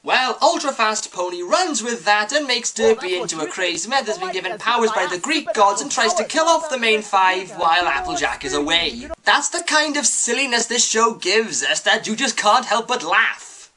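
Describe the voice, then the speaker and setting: A woman's voice: medium pitch, very animated, moderate pace, hardly any pauses, crowd noise. A woman reading a scripted, opinionated video review.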